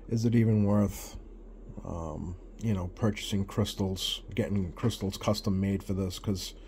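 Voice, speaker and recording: A man giving a casual hands-on repair demonstration at his workbench, talking haltingly and pausing often as he works. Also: lowish voice, a little mellow, a little hiss or hum. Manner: halting, hesitant, pausing often while working. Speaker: radio repair hobbyist presenting a bench video. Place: indoors at a workbench.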